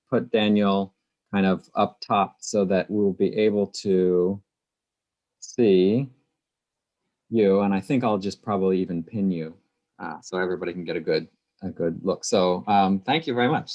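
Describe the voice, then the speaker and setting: Low-voiced man introducing a guest. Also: fairly even intonation, normal speed, a little mellow, dull audio. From Pittsburgh, USA. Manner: thoughtful, many fillers. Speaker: moderator, quartet member. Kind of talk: webinar introduction. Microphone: video call.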